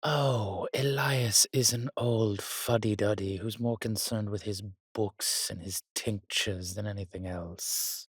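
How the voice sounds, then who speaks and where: Man acting a slow, husky, roguish old vampire. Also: lowish voice, fairly even intonation, dry recording, studio quality. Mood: dismissive, coaxing. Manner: theatrical, archaic turns of phrase, teasing. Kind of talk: intimate roleplay audio drama.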